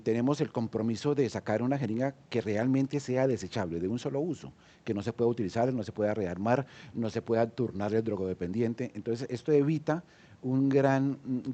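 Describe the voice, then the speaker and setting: Low, mellow-voiced Colombian man. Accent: Colombian Spanish. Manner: hesitant, many fillers and restarts. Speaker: entrepreneur panelist. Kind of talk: panel discussion.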